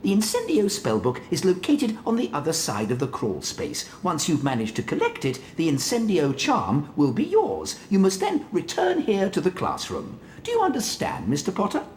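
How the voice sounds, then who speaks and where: Fussy British wizard professor, swooping pitch. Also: male voice, wildly swooping pitch, normal speed, few pauses, a little mellow, slight background noise, exaggerated voice. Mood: formal, instructing. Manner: formal, ornate, precise phrasing. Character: fussy old male wizard professor of charms. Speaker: teacher. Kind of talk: video game cutscene acting.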